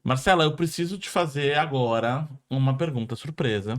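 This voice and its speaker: A Brazilian man with a Brazilian Portuguese accent, hosting a podcast interview in a lively, conversational way. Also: mid-range voice, moderate pace. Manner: lively and conversational, with frequent restarts. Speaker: podcast host.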